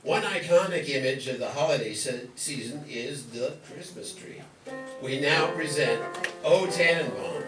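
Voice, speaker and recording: A man's voice: mid-range voice, lively intonation, measured pace. A man giving scripted announcements as the presenter of a radio show. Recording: echoey room, distant mic, faint music.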